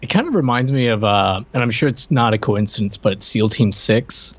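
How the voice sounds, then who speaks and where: A man's voice: lowish voice, normal speed. A forty-year-old man co-hosting a podcast in casual chat, hesitant and drily teasing. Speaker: podcast co-host.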